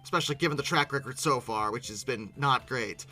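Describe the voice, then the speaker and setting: A very bright, forceful voice delivers off-the-cuff reaction commentary over music. The delivery is animated and incredulous, stumbling into repeated words with exasperation. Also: mid-range voice. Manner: halting, repeating words when flustered. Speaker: video creator hosting a reaction commentary. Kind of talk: informal, unscripted reaction commentary.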